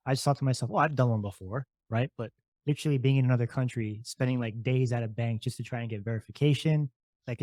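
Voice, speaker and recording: A male podcast host who asks long, rambling questions in a relaxed, conversational way. His voice sits at a middle pitch. The recording is dry and close. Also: dry recording. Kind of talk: casual interview talk.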